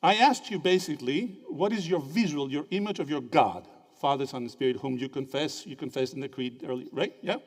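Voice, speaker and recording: A man from Greece giving a lively, interactive theology lecture and quizzing his audience with quick questions. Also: fairly high pitch, husky. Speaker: theology speaker at a symposium. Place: lecture hall. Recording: reverberant hall.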